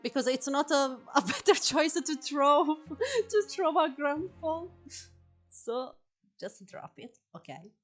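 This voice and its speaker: A woman's voice: high-pitched, animated, slow pace, faint music behind. A woman with an Italian accent whose first language is Italian, commenting casually on a game stream, hesitant and full of fillers and giggles.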